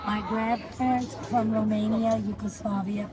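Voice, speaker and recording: A woman's voice: mid-range voice, level tone, moderate pace, over music. A woman in her fifties working as a psychic, giving readings in short, declarative statements outdoors. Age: 50s. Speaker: psychic card reader. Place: outdoors in a public square.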